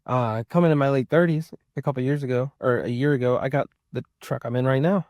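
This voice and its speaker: Man, about thirty, mid-pitched voice, close dry sound, casual vlog chat, rambling, repetitive for emphasis. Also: fast talker, darkish tone, dry recording, lo-fi sound. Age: around 30. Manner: rambling, repeats himself for emphasis. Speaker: vlogger. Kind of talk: casual personal chat to viewers.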